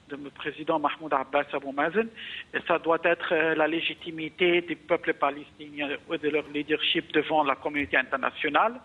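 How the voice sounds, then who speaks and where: A man from Egypt comments as a guest analyst in a news interview. His voice sits fairly high for a man, and he speaks haltingly, repeating small words as he builds his points. The sound is narrow and phone-like. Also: gravelly, breathy edge.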